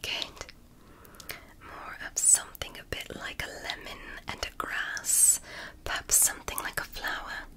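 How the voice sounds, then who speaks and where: A woman whispering as a gentle alien woman doctor in an ASMR roleplay, softly praising and reassuring her patient. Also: natural voice, low-quality recording. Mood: soothing. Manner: soft, slow, praising and reassuring.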